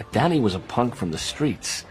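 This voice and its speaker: Animated, streetwise fat New York hustler. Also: male voice, mid-range voice, a little slow, low-quality recording. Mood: chatty, knowing. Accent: American. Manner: wisecracking, slangy, knowing. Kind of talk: radio drama dialogue.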